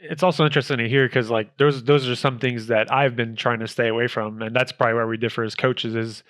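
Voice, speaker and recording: A man's voice: medium pitch, steady tone, fast, a bit bright, dry recording. A man speaking as an esports coach in a casual discussion, thinking aloud and restarting his phrases. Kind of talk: casual round-table discussion.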